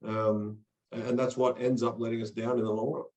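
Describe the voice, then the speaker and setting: A man's voice: medium pitch, level tone, normal speed, darkish tone, reverberant. A man from Australia, a guest coach talking earnestly and motivationally in a podcast interview, often repeating words as he starts a thought. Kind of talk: conversational interview.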